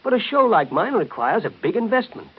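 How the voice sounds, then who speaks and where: An actor playing a regretful, defensive theatrical producer, earnest and hesitant, in a 1930s radio soap. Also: male voice, sits high, big pitch swings, a little fast, gravelly, husky, full character voice. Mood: strained, defensive. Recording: muffled narrow-band 1930s radio broadcast.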